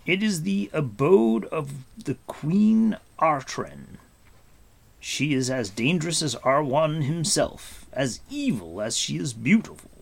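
A grave, patient warrior of a fantasy storybook, as a male reader plays him, speaks in raised, swooping tones. He is deliberate and formal, giving each phrase commanding weight at a slow pace. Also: on the high side, very animated, gravelly, slightly rough, voice pushed up, heavily acted. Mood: grave warning.

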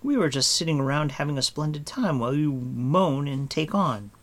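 A man voicing a haughty, outspoken young girl in an audiobook, scolding in a brisk, matter-of-fact way. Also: fairly high pitch, lively intonation, a bit bright, voice pushed up. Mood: indignant. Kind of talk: audiobook character voice.

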